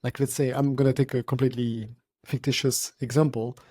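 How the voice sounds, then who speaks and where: A man, hosting a relaxed podcast conversation. His voice is warm and mellow, recorded dry and close. He talks thoughtfully and pauses to find his words. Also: medium pitch, no room sound. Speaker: podcast host.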